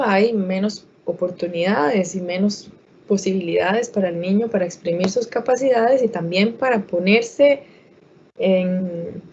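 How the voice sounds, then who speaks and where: Woman, expert speaker, webinar answers, many fillers and restarts, mellow tone, muffled call audio. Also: medium pitch.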